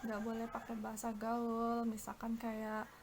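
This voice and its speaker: A woman's voice: fairly high pitch, completely flat delivery, a little slow. A woman with an Indonesian accent explaining a bilingual lesson as an English teacher, often stretching out her words. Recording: clear background noise.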